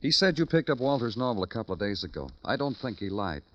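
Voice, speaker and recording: A man's voice: medium pitch, sing-song, fast, a little hiss or hum, natural voice. An actor playing a terse, world-weary male police detective, clipped and dry, in an old radio crime drama. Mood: pressing. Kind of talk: 1940s-50s radio crime drama acting and narration. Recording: muffled old radio recording with music.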